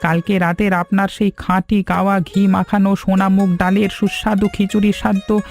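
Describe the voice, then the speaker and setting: A man playing an excitable, earnest middle-aged village uncle in an animated cartoon story. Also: high voice, brisk, vocal fry, soft background music. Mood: happy, admiring.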